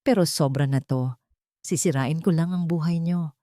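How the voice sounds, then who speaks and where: A woman's voice: sits low, normal speed, mellow, no room sound, clean studio sound, lightly acted. Woman voicing a broken-voiced, despairing young mother. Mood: despairing, tearful. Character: tearful, despairing young mother, self-blaming housemaid.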